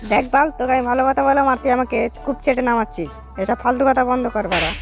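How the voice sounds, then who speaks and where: Performer as a foul-mouthed young cartoon village man, high processed pitch, quick, brash, mocking, loud music behind. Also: lightly acted. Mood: mocking, irritated. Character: crude, quarrelsome young village men in a comic cartoon. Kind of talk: comic cartoon dubbing, foul-mouthed banter.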